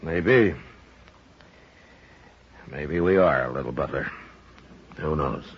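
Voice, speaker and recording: An actor playing a steady, world-weary American marshal in a radio western. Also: male voice, rumbling low, slow pace, slightly rough, heavily acted. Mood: calm, weary. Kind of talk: 1950s radio western acting. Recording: muffled old radio recording, faint noise.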